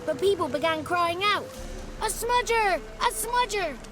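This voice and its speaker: A woman's voice: very high-pitched, expressive, measured pace, music in the background. A woman voicing a cheeky, childlike male swamp creature in a video game, talkative and theatrical, with boastful, florid wordplay. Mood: indignant, theatrical. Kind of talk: video game character acting.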